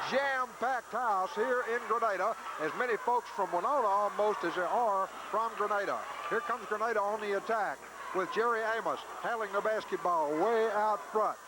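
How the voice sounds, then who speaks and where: High-pitched radio sportscaster, man, very noisy. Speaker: radio play-by-play sports announcer. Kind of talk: live basketball play-by-play commentary. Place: crowded high school gym. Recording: noisy 1980s VHS-transferred local radio broadcast. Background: loud gym noise.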